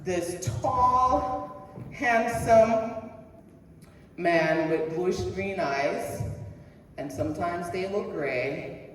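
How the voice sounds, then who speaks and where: A woman's voice: on the low side, a little hiss or hum. A woman in middle age delivers a personal motivational talk, taking her time over each phrase. She turns to the listeners with questions now and then. Her voice rings through a big reverberant hall, caught from far off. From Jamaica. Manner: slow, deliberate, asks the audience questions. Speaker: presentation speaker. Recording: echoey hall heard from a distance.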